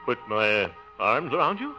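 A man's voice: mid-range voice, big pitch swings, bright, forceful tone, soft background music, exaggerated voice. An American man acting in a 1950s radio comedy as a bashful, flustered middle-aged bachelor who stammers and chuckles, on an old muffled recording. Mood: nervous, giddy. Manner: stammering, chuckling bluster, drawn-out words.

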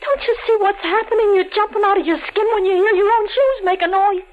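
An actress playing a frightened, pleading gangster's wife with plaintive, slangy delivery in a 1940s radio thriller. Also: female voice, very high voice, a little fast, faint music behind. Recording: dull old radio broadcast.

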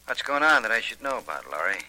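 A man playing a smooth, cocky racketeer, cool and clipped, in 1940s radio drama style. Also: medium pitch, big pitch swings, fast talker, sharp tone, a little hiss or hum. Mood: smug, teasing. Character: smooth, cocky male racketeer with many enemies.

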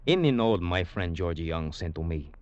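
Deep-voiced sly cafe owner. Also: male voice, darkish tone, no room sound, muffled recording. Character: Tio, genial sly Cuban cafe owner, a reformed rogue. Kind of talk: 1940s radio drama acting.

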